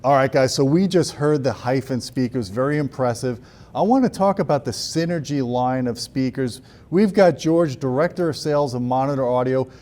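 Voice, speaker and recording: Mellow-voiced man interviewing at a hotel demo. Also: mid-range voice, moderate pace, faint music behind. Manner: casual, upbeat questions. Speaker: interviewer, show host. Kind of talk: trade show interview. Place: large hotel demo room, indoors.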